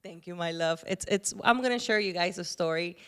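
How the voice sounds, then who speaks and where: A woman's voice: lowish voice, a little fast, fairly bright tone, smooth. A woman with a Spanish-speaking Caribbean accent hosting a podcast interview, effusive and affectionate, laughing often. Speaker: podcast host. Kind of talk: casual interview chat.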